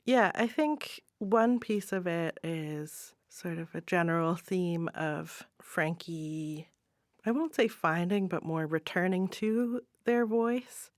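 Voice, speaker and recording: A woman giving thoughtful, measured answers as a playwright in an interview. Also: on the low side, no echo, studio quality. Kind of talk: reflective interview talk.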